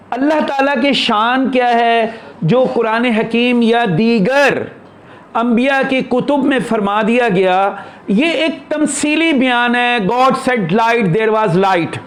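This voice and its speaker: A man giving an unscripted spiritual discourse as a teacher, explaining in short, broken phrases. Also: very high voice.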